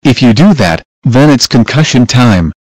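A man's voice: medium pitch, expressive, moderate pace, darkish tone, no room sound, close to an everyday voice, poor audio. A man voicing a brash, hot-tempered young guy. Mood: irritated. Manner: rude, irritable, boastful.

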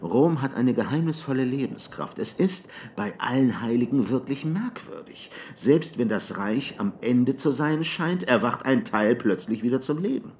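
A man voicing a thoughtful, wary barbarian warrior in an audiobook, speaking deliberately. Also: mid-range voice, lively intonation, a little mellow, pitched above the performer's own voice, natural voice. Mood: awed, wary. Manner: slow, deliberate. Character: weary thoughtful male barbarian warrior, slow-spoken and wary. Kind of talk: audiobook character acting.

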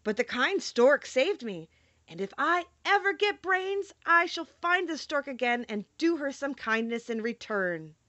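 A woman voicing a cheerful, eager male scarecrow in a children's storybook, exclaiming brightly. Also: high-pitched, very animated, a little slow, no echo, muffled recording, pitched above the performer's own voice, heavily acted.

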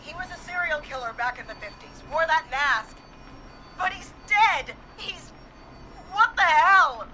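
A woman's voice: very high-pitched, animated, unhurried, bright, forceful tone, slight background noise, exaggerated voice, rough recording. A woman acting a resolute but frightened police dispatcher in a video game, urgent and hesitant under stress. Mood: panicked, shocked.

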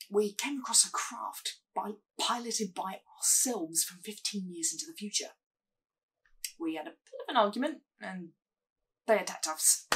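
A woman playing a self-satisfied male spaceship crewman, rambling in mock earnest through a deadpan sitcom log. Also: medium pitch, breathy edge, full character voice, low-quality recording. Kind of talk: sitcom monologue acting.

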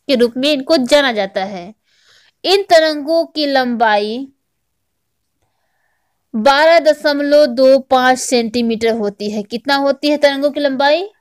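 A woman's voice: high-pitched, clean tone. A woman narrating a lesson as a teacher, explaining step by step and repeating key points. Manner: didactic, repeats key points as questions and answers. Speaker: teacher, online exam-prep educator. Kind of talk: scripted lesson narration over slides.

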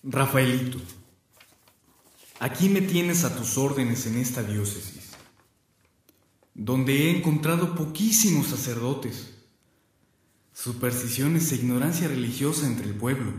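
Heavy echo surrounds an earnest, sorrowful bishop played by a man in a radio drama. He speaks formally at a middle pitch, as if a letter were being read aloud. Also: a little mellow, natural voice. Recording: heavily echoing reverb effect.

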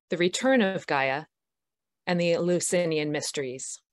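A woman host reading out a formal introduction in a brisk manner over a video call. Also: on the low side.

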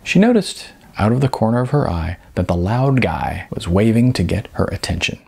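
Husky, expressive middle-aged male storyteller. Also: fairly low pitch, moderate pace. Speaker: author reading his own novel. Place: small furnished room indoors.

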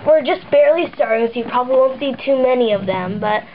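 A woman's voice: high voice, animated, fast, noisy background. A teenage girl chatting and giggling as she chimes in on a dollhouse tour in a bedroom. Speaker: co-host of a doll video channel. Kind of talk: casual chat. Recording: dull lo-fi sound.

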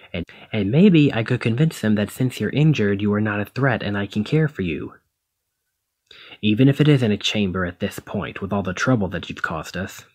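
A man playing a protective, conflicted demigod hero in a one-sided ASMR roleplay, speaking emotively and haltingly to the listener. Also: fairly low pitch, breathy edge. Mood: angry, conflicted. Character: brawny male demigod hero, protective, conflicted, exasperated lover.

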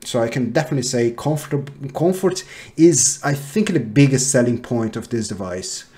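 A man in his thirties giving a casual product review to camera, talking in a halting way as he thinks aloud. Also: mid-range voice, expressive, a little mellow. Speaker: tech reviewer. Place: small home office room, indoors.

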